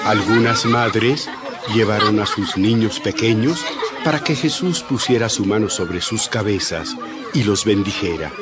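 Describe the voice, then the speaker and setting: A man's voice: fairly low pitch, lively intonation, unhurried, over a noisy crowd. A man narrating a dramatized audio Bible from a script. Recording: loud background music under the voice.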